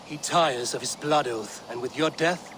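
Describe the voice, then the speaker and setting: An actor playing a stern Viking warrior who questions others in a measured, direct way, in a video game. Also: male voice, mid-range voice, background noise, natural voice. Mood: accusing. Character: stern, probing Viking warrior acting as interrogator. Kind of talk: video game dramatic acting.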